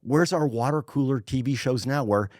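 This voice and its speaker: A man in his sixties with a mid-pitched voice, speaking as an author being interviewed. He talks in a loose, thoughtful way, full of "you know" and restarts. The sound is close and dry, with no room around it. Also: moderate pace, darkish tone, dry recording. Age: 60s. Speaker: guest author. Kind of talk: casual podcast interview.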